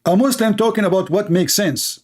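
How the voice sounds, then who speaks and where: A man's voice: high voice, expressive, fairly quick. A man whose first language is Arabic, hosting a live online debate in English with mocking, sarcastic emphasis. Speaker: debate show host.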